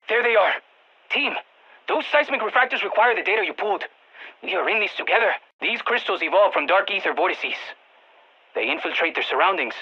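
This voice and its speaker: A man's voice: sits high, pushed and bright, breathy edge, highs cut off, full character voice. A man playing an eager, geeky field scientist in a video game, giving precise technical briefings to soldiers over radio comms. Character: excitable nerdy male field scientist guiding soldiers by radio. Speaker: mission-support scientist character in a shooter game.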